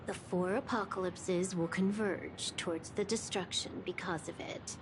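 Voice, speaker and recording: An actress playing a cool, composed messenger of fate in a video game, measured and solemn. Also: female voice, on the low side, steady tone, noisy background. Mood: calm, fateful. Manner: measured, solemn, matter-of-fact. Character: composed, fateful woman, cool prophetic messenger.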